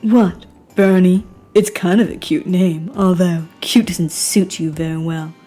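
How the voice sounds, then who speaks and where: A woman's voice: fairly low pitch, fairly even intonation, measured pace, mellow, soft background music. A woman voicing a cruel, goading, sinister young man in an amateur game. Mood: taunting.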